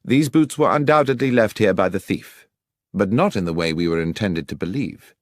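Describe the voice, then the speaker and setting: A man's voice: fairly low pitch, lively intonation, normal speed. A man voicing a confident, theatrical detective in an audiobook, with a precise, declamatory and assured delivery. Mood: assured, probing. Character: confident, theatrical male detective explaining his deductions.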